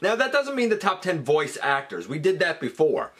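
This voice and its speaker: A man's voice: on the high side, sing-song, normal speed, a bit bright. A man hosting an online countdown, mixing showy announcer-like emphasis with chatty asides as he talks to viewers. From Canada. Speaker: YouTube channel host. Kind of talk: countdown video commentary to viewers.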